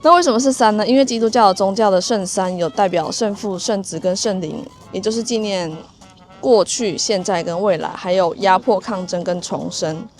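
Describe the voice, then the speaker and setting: A woman's voice: mid-range voice, normal speed. Woman narrating over light music. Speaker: travel vlog narrator. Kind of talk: informative travel narration, explaining local history.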